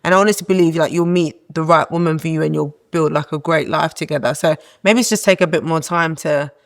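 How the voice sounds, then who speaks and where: Woman, young adult, low masculine-sounding voice, earnest, encouraging, casual podcast guest. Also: steady tone, quick pace. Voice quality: low voice that she calls masculine.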